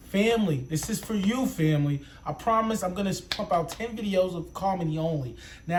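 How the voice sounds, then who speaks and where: A man's voice: high-pitched, slight background noise. A young man hosting his reaction channel, talking casually in rambling, profane street slang. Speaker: YouTube reaction host. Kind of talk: casual vlog intro.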